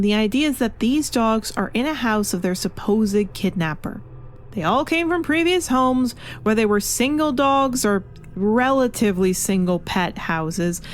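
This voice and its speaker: A woman narrating a scripted video review as a critic, speaking in an emphatic, theatrical manner. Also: a little high. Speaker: comic reviewer.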